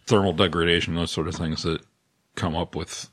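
Man, deep voice, very dry recording, podcast co-host, casual news discussion. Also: little pitch movement, brisk, a bit bright, dry recording.

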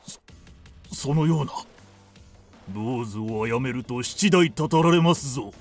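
A man's voice: medium pitch, a little slow, soft background music, natural voice. A man playing a timid, pious Buddhist monk in a radio drama, speaking in humble, formal archaic language. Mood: frightened.

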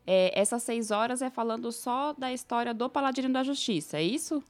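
A woman's voice: fairly high pitch, fairly quick, music in the background. A Brazilian woman co-hosting a podcast and interviewing in a casual, conversational way. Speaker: podcast co-host.

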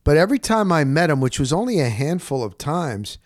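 A middle-aged man with a slightly higher-pitched voice hosts in a casual, reflective way. His storytelling is informal and rambling. The sound is dry, with very little room in it. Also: big pitch swings, a little fast, dry recording. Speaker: interview show host. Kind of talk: casual, reflective monologue and interview chat.

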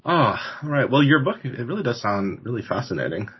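A man's voice: mid-range voice, very animated, brisk, breathy edge. A man in his forties hosting a podcast interview, rambling casually with frequent laughs and restarts. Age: 40s. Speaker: podcast host. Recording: dull lo-fi sound.

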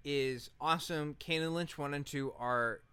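Emphatic man with a bright, clear voice. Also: fairly high pitch, normal speed, no echo. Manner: emphatic, repetitive, casually profane. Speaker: podcast co-host. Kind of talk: casual chat, opinionated reactions.

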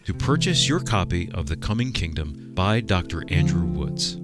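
A man's voice: low-pitched, sing-song, moderate pace, over loud music. A man working as a radio announcer, giving a scripted promotional read.

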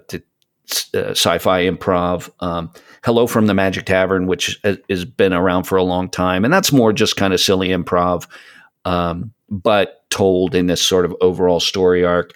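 A man's voice: low-pitched, unhurried, a bit bright. A man in casual podcast chat, giving recommendations in a rambling way as he searches for his words. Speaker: podcast guest.

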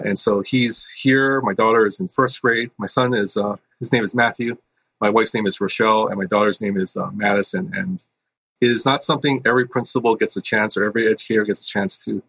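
A middle-aged man from Hawaii, a school principal, answering interview questions in an earnest, halting way with many fillers. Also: fairly low pitch, a bit bright, slightly rough, no room sound.

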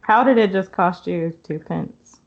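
A woman's voice: on the low side, a little slow, creaky, highs cut off. A woman hosting a travel podcast, asking her guest questions in a friendly, conversational way. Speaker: podcast host. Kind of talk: podcast interview.

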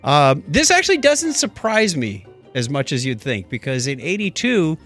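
A middle-aged or older man hosting a casual tech talk show, chatting conversationally and thinking out loud as he goes. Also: on the high side, very animated, moderate pace, soft background music. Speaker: podcast host.

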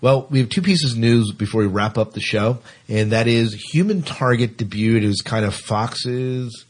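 A man hosting a casual podcast, chatting in a halting way and repeating words as he thinks. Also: mid-range voice, breathy edge. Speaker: podcast host; teacher. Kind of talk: casual co-hosted podcast chat.

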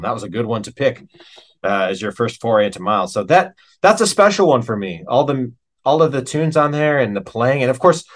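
A man's voice: medium pitch, a bit bright. A middle-aged man chatting casually as an interview guest, telling stories in an enthusiastic, informal, slangy way.

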